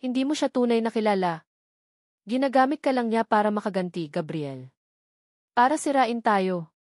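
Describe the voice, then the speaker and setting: A woman's voice: fairly high pitch, lively intonation, a little mellow, no echo, clean studio sound, lightly acted. A woman with a Filipino accent voicing a wealthy, scheming middle-aged mother in a story, grave and insistent. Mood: bitter, pitying. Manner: grave, measured, insistent persuasion.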